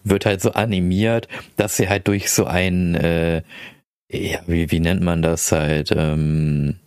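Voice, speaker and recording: Male podcast host, low-pitched, dry recording, chatty anecdote teller who plays out the dialogue. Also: steady tone, takes it slowly, darkish tone, clean tone, no room sound. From Philippines. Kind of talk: casual anecdote storytelling in chat.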